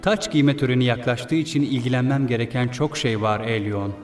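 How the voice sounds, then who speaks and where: Man acting a smooth scheming prince in echoey halls. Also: medium pitch, steady tone, a little fast, darkish tone, close to an everyday voice. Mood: falsely kind. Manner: composed, formal, courtly. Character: scheming villain prince, smooth and falsely kind. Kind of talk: dubbed cartoon acting. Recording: echoey room, music behind.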